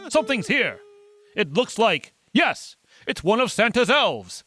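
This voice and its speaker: Guy doing a bold adventure hero for a radio play, highish voice, super animated, urgent and declamatory. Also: a little slow, exaggerated voice. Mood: urgent, commanding. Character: heroic, bold male adventure scientist. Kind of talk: old-fashioned radio adventure serial acting.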